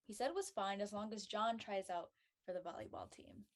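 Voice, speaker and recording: A woman playing a sensible, helpful high-school girl in an amateur script reading. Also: sing-song, brisk. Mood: reassuring. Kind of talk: amateur audio drama table read.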